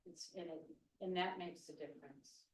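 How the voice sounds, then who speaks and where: A woman's voice: lowish voice, fairly even intonation, normal speed. A woman from an adult education program thanking a school board, searching for words. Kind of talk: board meeting remarks. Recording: distant, echoey, hissy room recording.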